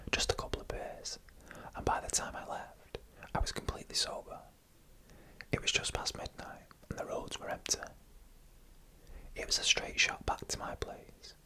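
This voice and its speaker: An ASMR narrator telling scary stories in a breathy, unvoiced whisper, with a hushed and suspenseful delivery. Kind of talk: whispered ASMR horror storytelling, scripted narration.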